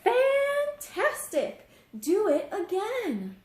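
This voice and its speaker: A woman voicing a bold, flattering young storybook princess in an exclaiming, emphatic way. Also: very high-pitched, very slow, reverberant, pitched above the performer's own voice, heavily acted. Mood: excited shouting.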